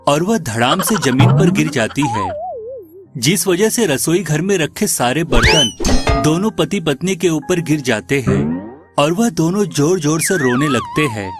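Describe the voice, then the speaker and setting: A man narrating an animated folk tale as a storyteller, in scripted delivery. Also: on the high side.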